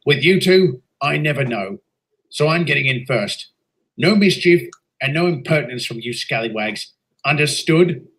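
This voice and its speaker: A crusty old grandfather engine, voiced by a man, strict and firm with the youngsters. His scolding lines rise and fall with lively emphasis in a homemade audio drama. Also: medium pitch, moderate pace, rough recording. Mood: stern. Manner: stern and scolding. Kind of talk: fan audio drama voice acting.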